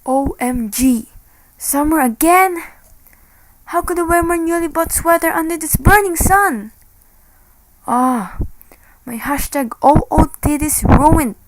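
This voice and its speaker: Vain young fashionista, played by a woman, theatrical, high, for a radio commercial skit. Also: takes it slowly, long pauses, very noisy. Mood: whiny. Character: dramatic, vain young fashion-obsessed social media girl.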